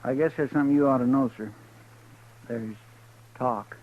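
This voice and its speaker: A man's voice: medium pitch, normal speed, close to an everyday voice. A man playing a loyal, simple western deputy with a rural American drawl, hesitant and deferential, in a 1950s radio drama. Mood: uneasy, earnest. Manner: hesitant, stammering, folksy. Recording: muffled, noisy old radio recording.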